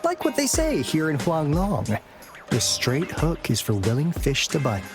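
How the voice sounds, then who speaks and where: A sly, playful game character who trades in secrets, his delivery swooping wildly up and down. He teases and bargains like a theatrical salesman, with clear music playing behind him. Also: on the high side, wildly swooping pitch, a little slow. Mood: playful, salesmanlike. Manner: teasing, theatrical, smooth salesman patter. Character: sly, playful male information broker, teasing dealmaker. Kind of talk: video game voice acting.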